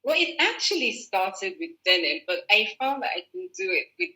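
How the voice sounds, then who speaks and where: A woman's voice: mid-range voice, very animated, normal speed, sharp tone, poor audio. A woman fashion designer, a guest in a video-call interview, explaining her work thoughtfully with restarts and fillers. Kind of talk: relaxed conversational interview.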